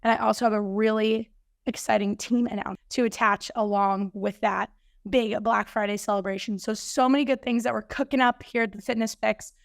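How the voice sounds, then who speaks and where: A woman hosting a fitness podcast, slightly hoarse, chatting upbeat about coming news. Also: fairly high pitch, fairly even intonation, brisk, no echo, clean studio sound. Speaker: podcast host and fitness coach. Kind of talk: casual solo podcast intro.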